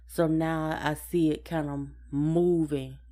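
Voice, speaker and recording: Woman, low-pitched voice, podcast co-host, casual personal talk, hesitant, repeats phrases. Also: fairly even intonation, takes it slowly, warm tone.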